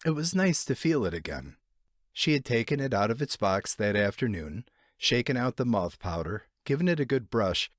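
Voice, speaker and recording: A man narrating a literary short story expressively, lingering in the pauses between phrases. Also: lowish voice, no room sound, studio quality.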